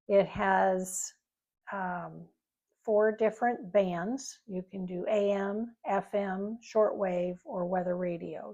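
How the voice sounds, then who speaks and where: A woman's voice: medium pitch, darkish tone, highs cut off. A woman giving a casual, informal product review, explaining features in a rambling, conversational way.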